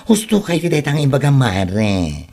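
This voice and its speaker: A woman's voice: extremely low pitch, sing-song, measured pace, vocal fry, dark tone, heavily acted. A woman playing a laid-back village friend in an Ilocano comedy drama, with an Ilocano accent and exaggerated questioning interjections. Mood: amused, dismissive.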